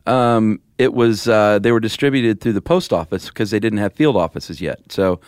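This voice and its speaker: Male American podcast co-host, lowish voice, laid-back casual explainer, dry studio-close sound. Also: normal speed, a little mellow, clear, resonant tone, no echo.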